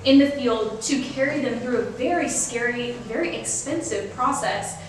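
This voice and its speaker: A woman's voice: a little high, moderate pace, recorded from a distance, background noise. A young woman from Texas, a real estate agent and guest, talking in an upbeat, chatty way in a casual interview. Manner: upbeat, talkative, laughs often. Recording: echoey room, voice off-mic.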